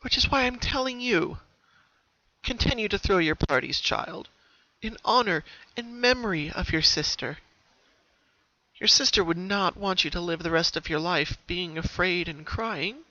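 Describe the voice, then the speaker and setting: Man voicing a soft, high-pitched old grandmother pony. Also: animated, no room sound, full character voice. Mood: warm reassurance. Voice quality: soft, soothing. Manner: slow, tender, consoling delivery. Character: gentle, wise old grandmother pony, warm and solemn. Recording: dull, muffled home recording.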